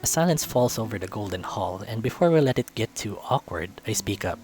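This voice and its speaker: A man's voice: on the low side, lively intonation, brisk, darkish tone. A man reading visual novel narration aloud in a storytelling style. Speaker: visual novel narrator, let's-play reader.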